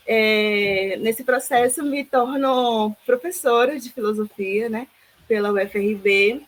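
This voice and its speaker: A woman in her thirties from Salvador, Brazil, answering interview questions reflectively with many pauses and fillers. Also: fairly high pitch, a little hiss or hum, poor audio. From Salvador, Bahia, Brazil. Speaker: guest, philosophy teacher.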